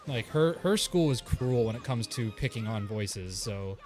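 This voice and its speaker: A man's voice: medium pitch, expressive, unhurried, darkish tone. A man co-hosting a gaming livestream, talking casually and grumbling when the game frustrates him. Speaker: co-streamer and partner. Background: game music.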